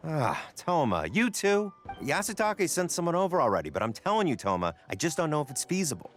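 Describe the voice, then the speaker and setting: High-pitched, fretful small-time merchant. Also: male voice, a little fast, faint music behind. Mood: reluctant. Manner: animated, complaining, colloquial. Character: fretful small-time male merchant and lender, cautious, grumbling. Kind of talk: video game character dialogue.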